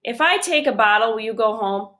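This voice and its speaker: A woman's voice: fairly high pitch, animated, a little fast, bright, forceful tone. A woman voicing a grumbly, exasperated young boy in a children's storybook, with a blunt and reluctant manner.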